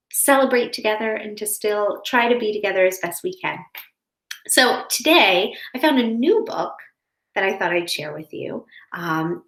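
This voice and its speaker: A woman talking to young children in a gentle, reassuring way, explaining things simply in a chatty style. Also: mid-range voice. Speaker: school educator reading stories to children online. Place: home office indoors. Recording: roomy, echoey home office.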